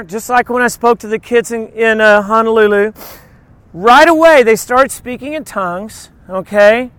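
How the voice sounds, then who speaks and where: A man's voice: very high-pitched, dry recording. A man giving an informal, conversational sermon, telling personal stories and acting out little quotes as he teaches. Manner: informal, animated storytelling with acted-out quotes. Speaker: preacher.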